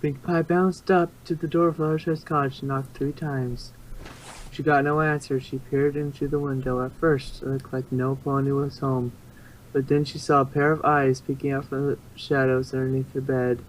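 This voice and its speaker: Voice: mid-range voice, level tone, normal speed, rough recording. Storyteller with some background noise. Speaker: narrator. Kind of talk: story narration, amateur fan fiction reading.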